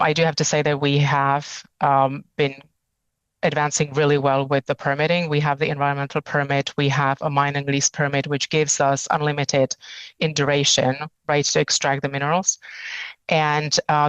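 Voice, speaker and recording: A woman in her forties, raised in the Czech Republic, speaks as a company chief in a steady, level tone. Her voice is smooth and clean, and it sounds close and dry with no room around it. She talks in a measured way and pauses often between phrases. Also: low voice, no echo, low-quality recording. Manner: measured, many fillers and pauses. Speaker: company CEO, guest. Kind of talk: business interview answers.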